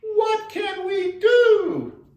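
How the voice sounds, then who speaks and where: A storyteller doing a chorus of squeaky pleading frogs. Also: very slow, reverberant, highs cut off, full character voice. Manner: high, pleading, shouting. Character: chorus of small frightened frogs.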